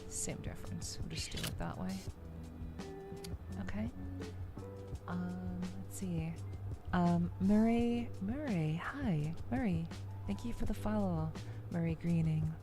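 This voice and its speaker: A woman hosting a soft-spoken ASMR gaming livestream, chatting casually with viewers and murmuring hesitantly as she thinks aloud, half whispering at times. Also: on the low side, slow. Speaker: VTuber livestream host. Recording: loud music behind the voice. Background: music.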